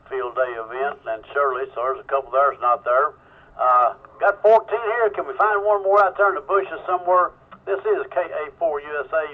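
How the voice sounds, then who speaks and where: Tinny, higher-voiced man running radio check-ins. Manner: folksy, informal. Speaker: amateur radio net control operator. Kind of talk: casual radio check-in chat. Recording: thin phone-like radio audio, webcam mic.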